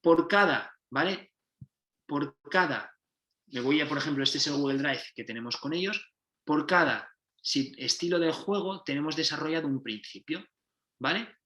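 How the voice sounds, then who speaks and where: Man with a bright voice, explaining in a roomy space. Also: a little high, normal speed. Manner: explanatory, restarts and self-corrections. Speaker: football coach and consultant. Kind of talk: coaching methodology presentation. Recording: roomy echo.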